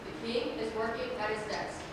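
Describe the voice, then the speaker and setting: A woman's voice: a little high, steady tone, moderate pace. A woman narrating a staged play reading, announcing scenes and stage directions. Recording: echoey hall, distant mic, background noise.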